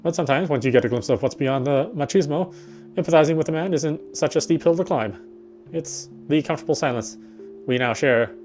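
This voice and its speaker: A man's voice: fairly high pitch, fairly quick. A man reading a visual novel's narration aloud, now and then stumbling over a phrase and rereading it. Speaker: game player reading aloud. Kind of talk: scripted narration read aloud. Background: soft guitar game music.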